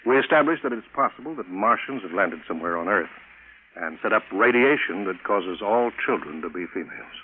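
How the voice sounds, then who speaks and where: An actor playing a matter-of-fact space project chief in a 1950s radio drama, with measured, conversational authority. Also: male voice, sits low, expressive. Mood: earnest, explaining. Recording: tinny, noisy vintage radio broadcast.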